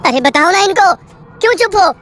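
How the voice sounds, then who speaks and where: A woman voicing a frightened young male railway worker who pleads breathlessly in a high voice. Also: expressive, very fast, a little mellow, soft background music. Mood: desperate.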